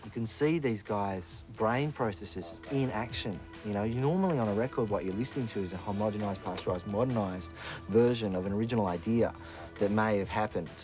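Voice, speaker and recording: A man's voice: fairly low pitch, brisk, music in the background. A man talking informally in a documentary interview about his work.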